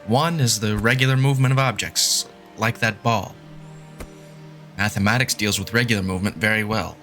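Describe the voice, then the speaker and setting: Guy playing a smug doomy math genius in an audio drama, lowish punchy voice, lectures emphatically, music underneath. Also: fairly even intonation, moderate pace, a bit bright, close to an everyday voice. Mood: lecturing.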